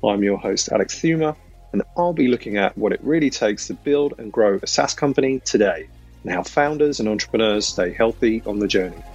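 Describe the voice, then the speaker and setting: A man's voice: lowish voice, expressive, a little fast. Male host reading an intro over music. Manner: smooth, read from script. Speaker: podcast host. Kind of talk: scripted show intro. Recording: dull recording with faint music.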